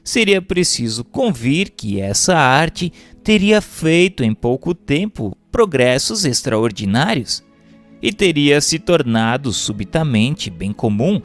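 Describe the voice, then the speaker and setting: A man reading a book aloud in a formal, measured, rhetorical manner, like scripted audiobook narration. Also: mid-range voice, very animated, faint music behind.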